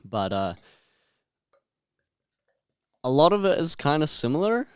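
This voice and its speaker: A man's voice: a little high, sing-song, fairly quick, smooth, dry recording, clean studio sound. A young man, a podcast guest, talking in an easygoing, hesitant way. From New Zealand. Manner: easygoing, hesitant, lots of fillers. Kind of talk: casual chat.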